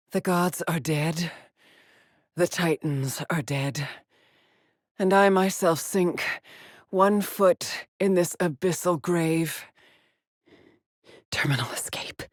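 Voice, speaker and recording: An actress playing a weary, haunted woman space explorer in a video game, speaking in brooding, deliberate monologue with a husky, breathy voice that often drops to a whisper. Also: low voice, no room sound, studio quality. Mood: grave, weary. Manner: slow, deliberate, cryptic pronouncements. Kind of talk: dramatic video game monologue, log-like narration.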